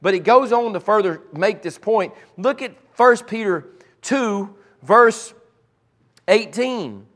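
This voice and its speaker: High-voiced raspy preacher man. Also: lively intonation, slow, fairly bright tone. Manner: fervent, building to emphatic repeated lines. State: raspy voice from cheering and allergies. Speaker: pastor. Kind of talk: preaching a sermon.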